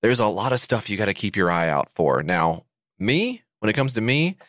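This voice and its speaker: An American man co-hosting a comedy podcast, sarcastic and jokey, reading an ad in mock-excited bursts. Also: fairly low pitch, normal speed, dry recording, studio quality. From United States. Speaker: podcast co-host. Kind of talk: comedic podcast banter and read-out ad.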